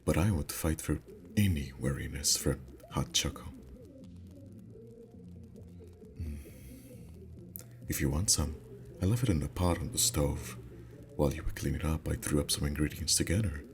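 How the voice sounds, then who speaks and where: Male actor as sweet teasing boyfriend, extremely deep pitch, soft unhurried delivery, intimate ASMR roleplay, background music. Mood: relaxed, weary.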